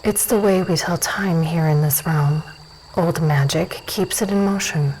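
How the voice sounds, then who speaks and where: Woman as composed, lower-voiced dusk elf warrior. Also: measured pace, a little mellow, slight background noise, rough recording. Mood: composed, hospitable. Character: self-assured dusk elf warrior woman, hospitable, probing. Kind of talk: fantasy audio drama dialogue.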